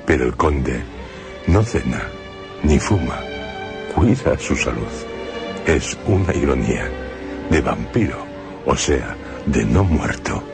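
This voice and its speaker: A man presenting a radio drama with scripted narration and measured, dramatic pauses. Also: a bass voice, expressive, gravelly. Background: music.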